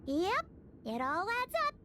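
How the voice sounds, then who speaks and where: A bubbly little sprite. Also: female voice, extremely high pitch, big pitch swings, unhurried, pushed and bright, slight background noise, heavily acted. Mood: excited. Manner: bubbly, exclaiming, chatty. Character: small excitable female sprite companion. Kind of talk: game cutscene voice acting. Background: game music.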